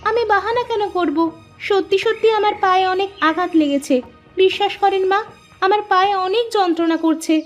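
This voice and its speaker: A meek young wife from a cartoon family drama. Her voice sits very high and sounds plaintive and earnest, that of someone put-upon who will still stand her ground. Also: a little fast, faint music behind. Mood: pained pleading.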